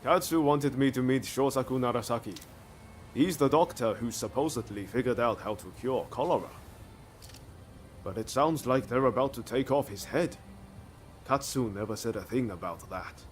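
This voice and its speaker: A man's voice: mid-range voice, normal speed, faint music behind, lightly acted. A man playing a breezy, cheeky rebel swordsman in a video game cutscene, casual and jaunty. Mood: curious, casual.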